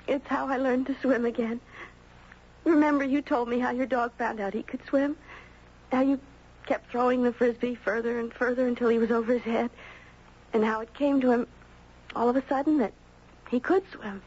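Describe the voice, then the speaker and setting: A wistful young girl in a vintage radio drama. Her voice is very high and husky, with a halting, earnest, plaintive delivery. The sound is dull, like an old broadcast. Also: darkish tone. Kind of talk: 1970s radio drama acting.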